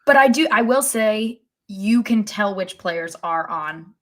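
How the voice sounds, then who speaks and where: A woman's voice: mid-range voice, animated, unhurried, fairly bright tone. An American woman co-hosting a sports podcast, chatting conversationally about the game. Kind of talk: conversational soccer podcast discussion.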